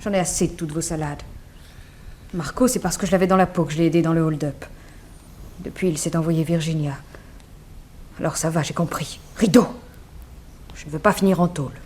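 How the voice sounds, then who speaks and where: An actress playing a defiant, cornered young fashion model with slangy, indignant replies in a 1960s French radio crime drama. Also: female voice, low voice, fairly even intonation, brisk, pauses often, a little mellow, husky.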